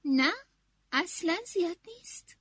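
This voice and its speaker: A woman voicing a spoiled, quick-tempered queen bee who demands and shouts. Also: very high-pitched, expressive, no echo, clean studio sound. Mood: demanding.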